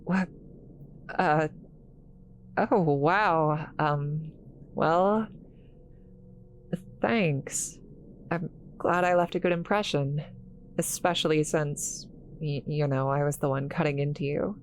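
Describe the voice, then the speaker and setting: A woman playing an awkward, kind scientist in a roleplay audio drama, halting and apologetic, stammering as she talks to the listener. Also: deep voice, fairly even intonation, slight background noise, low-quality recording. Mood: surprised, grateful.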